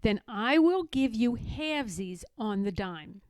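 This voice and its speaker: A woman voicing a sly, firm young girl in a storybook, speaking with deliberate emphasis. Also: on the high side, expressive, a little mellow, dry recording, clean studio sound. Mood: earnest. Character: young girl, firm, sly, singsong, standing her ground.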